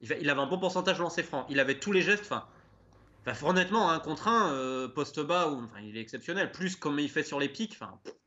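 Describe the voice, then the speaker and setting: A man's voice: on the high side, lively intonation, quick pace, fairly bright tone, muffled recording. A man, a guest journalist, giving a direct, opinionated take on a player. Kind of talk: studio sports debate.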